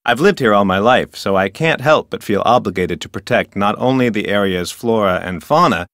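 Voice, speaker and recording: Adventure game park ranger: lowish pitch, officious, formal and wordy, rule-bound, dry studio sound. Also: male voice, lively intonation, moderate pace, a bit bright, no echo. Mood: informative, matter-of-fact. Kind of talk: scripted adventure game dialogue.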